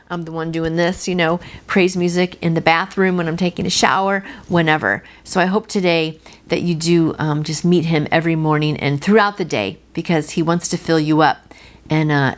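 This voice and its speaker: Lower-pitched woman giving an earnest devotional. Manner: earnest, encouraging, personal direct address. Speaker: devotional host and reader. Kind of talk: devotional reading with informal encouragement.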